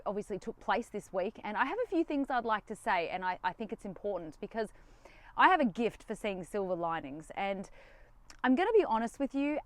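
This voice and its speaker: A young woman, talking straight to camera from her van in a defiant, animated, sarcastic way. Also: mid-range voice, no room sound. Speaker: vlogger. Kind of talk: casual direct-to-camera talk. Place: inside a van.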